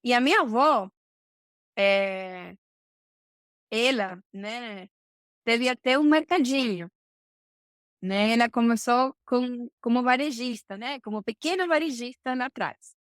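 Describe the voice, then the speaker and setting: High, clear woman. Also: takes it slowly, long pauses, dry recording, clean studio sound. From Argentina, Buenos Aires. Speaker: podcast guest, supply chain professional. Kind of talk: conversational interview answers.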